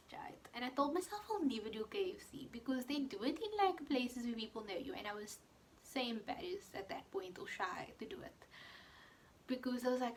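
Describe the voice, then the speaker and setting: A woman's voice: on the high side, slight background noise. Chatty young woman vlogging. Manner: chatty, peppered with fillers and quoted asides. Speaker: vlogger answering viewer questions. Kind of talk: casual chat.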